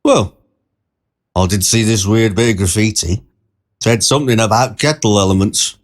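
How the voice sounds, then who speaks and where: A man's voice: on the low side, normal speed, lightly acted. Weary train cleaner, acted by a male narrator, offhand, conversational, short-story reading. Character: weary night-shift train cleaner chatting at home.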